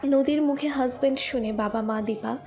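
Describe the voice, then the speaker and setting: A woman's voice: high voice, normal speed, mellow, lo-fi sound, soft background music. A woman giving scripted, dramatic narration of a story as its narrator.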